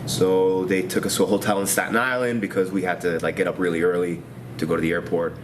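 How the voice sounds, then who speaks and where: Man in his 30s with a New York accent, very low voice, quick and rambling delivery, noisy recording. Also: little pitch movement. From Queens, New York. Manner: casual, rambling, many restarts and fillers. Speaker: veteran interviewee. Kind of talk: oral history interview.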